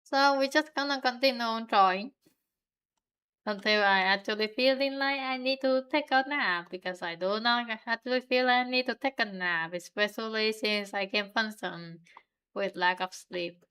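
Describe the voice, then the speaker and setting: A young woman from Vietnam with a Vietnamese accent, chatting to viewers in a relaxed, giggly way while she draws on stream. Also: fairly high pitch, moderate pace, clear, resonant tone. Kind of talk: casual stream chat while drawing.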